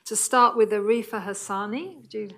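A woman's voice: mid-range voice, steady tone, moderate pace. A woman moderating a panel in a university seminar room, informal and friendly as she introduces the speakers. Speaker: event moderator.

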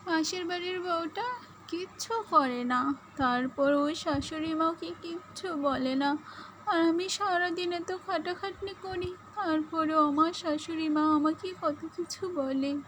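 A woman's voice: extremely high pitch, unhurried, fairly bright tone, slight background noise, pitched above the performer's own voice, poor audio. A woman voicing a young daughter-in-law in a cartoon, vain and plaintive, coaxing as she talks. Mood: complaining. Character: young daughter-in-law, pleading, vain, flattering, hard-working.

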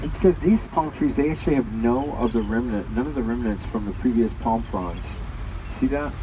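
Male tour guide, loud and chatty, off-mic on a moving vehicle, heavy wind. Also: medium pitch, brisk. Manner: chatty, addresses listeners directly. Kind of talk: live guided tour commentary. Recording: distant, muffled mic with loud wind noise.

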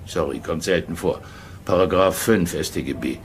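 An actor playing a brisk, chummy police superior in a crime audio drama, talking in a businesslike, coaxing, familiar way. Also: male voice, low voice, a little hiss or hum. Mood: businesslike briefing.

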